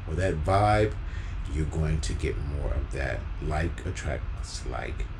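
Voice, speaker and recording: A man giving an inspirational spoken message in an unhurried, preacher-like, encouraging manner. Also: a bass voice. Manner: unhurried, preacher-like encouragement addressing listeners as beloveds. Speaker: featured storyteller, motivational speaker. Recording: clearly noisy background.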